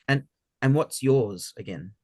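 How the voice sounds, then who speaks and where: A man's voice: mid-range voice, animated, measured pace, a little mellow, dry recording, clean studio sound. A man leading an interactive group session on a video call, encouraging and affirming, often restarting his words. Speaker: workshop facilitator.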